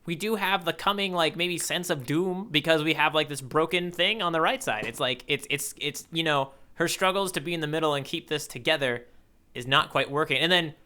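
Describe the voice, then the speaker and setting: Male podcast co-host, higher-pitched, crisp and bright, enthusiastic casual delivery. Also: brisk. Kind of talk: casual manga discussion.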